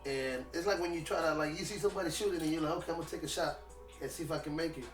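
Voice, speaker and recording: Fast-talking rapper, loose and emphatic. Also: male voice, fairly high pitch. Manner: fast, loose and emphatic, peppered with slang and swearing. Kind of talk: casual interview chat. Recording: echoey room with music behind, mic at a distance.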